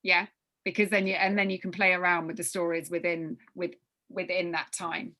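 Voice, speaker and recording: Woman workshop leader, bright forceful voice, thinks aloud and repeats phrases for emphasis. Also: fairly low pitch, flat delivery, moderate pace. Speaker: workshop leader briefing young writers. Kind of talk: informal spoken briefing with question and answer.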